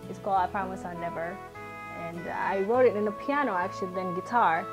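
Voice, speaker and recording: A woman's voice: mid-range voice, brisk, music in the background. A young woman from South Sudan, a musician, telling her story in an earnest, chatty way with fillers and restarts. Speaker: guest musician. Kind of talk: casual personal storytelling.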